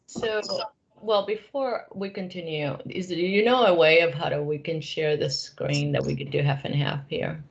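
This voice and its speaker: A woman's voice: low-pitched, fairly even intonation, moderate pace, vocal fry. A woman informally interviewing a guest over a video call, as the host of the conversation. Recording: dull, lo-fi online call audio.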